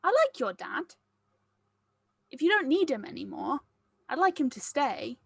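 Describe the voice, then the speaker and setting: A woman puts on an innocent little child for an audio drama read. The voice is fairly high and swings in pitch, earnest and blunt, asking simple questions. The recording is dry. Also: sing-song, a little slow, gravelly, dry recording, exaggerated voice. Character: curious, blunt, innocent small child building sandcastles. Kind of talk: acted script table read.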